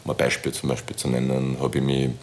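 An Austrian man talking casually as an interview guest, halting often as he looks for his words. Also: rumbling low, monotone, a little hiss or hum. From born in Istanbul, grew up in Linz, Austria. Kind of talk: casual conversational interview talk.

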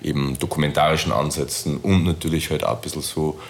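Very deep-voiced Austrian man, halting talk. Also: fairly even intonation, slight background noise. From born in Istanbul, grew up in Linz, Austria. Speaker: interview guest, artist. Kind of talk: casual conversational interview talk.

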